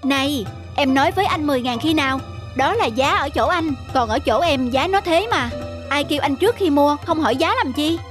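A woman voicing a sharp-tongued, pert young female street vendor in a cartoon. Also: high-pitched, expressive. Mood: snappy. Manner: pert, quick to argue. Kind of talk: cartoon dubbing. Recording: music behind the voice.

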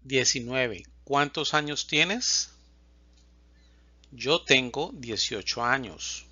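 A man teaching a Spanish lesson, reading example questions and answers slowly and distinctly. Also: mid-range voice, lively intonation, pushed and bright, husky, no echo, lo-fi sound. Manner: reads questions and answers slowly and distinctly, pausing between lines. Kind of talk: slow, clear language lesson reading example dialogues.

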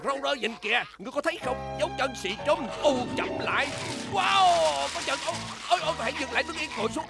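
A woman's voice: high-pitched, expressive, fast, vocal fry. A woman voicing a hot-tempered, scheming old male wizard in a cartoon dub, scolding and exclaiming. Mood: irritated. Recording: loud music behind the voice. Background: loud music.

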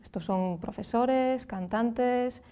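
A woman in her thirties with a Galician Spanish accent, a speech therapist explaining clearly and patiently as a guest in a TV studio. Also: fairly high pitch, slow pace, warm tone. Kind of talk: explanatory interview answers.

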